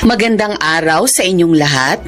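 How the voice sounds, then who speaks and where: A woman's voice: on the low side, animated, moderate pace, natural voice. An actress with a Filipino accent playing a sorrowful widowed schoolteacher mother giving solemn testimony in a radio drama. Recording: music under the voice.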